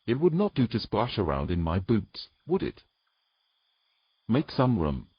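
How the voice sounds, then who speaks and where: A man acts out a proud, uneasy knight from a fantasy audio drama. His low voice swings expressively in pitch, with formal phrasing and dry sarcasm. The recording is slightly dull. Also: wildly swooping pitch, measured pace, breathy edge, dry recording, exaggerated voice. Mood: wary, stalling.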